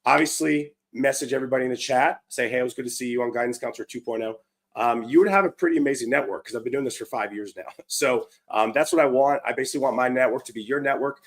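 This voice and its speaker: A man hosting a live show, hoarse from a cold, upbeat and chatty with lots of fillers and restarts. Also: mid-range voice, fast talker. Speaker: livestream show host. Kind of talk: casual live-stream chat.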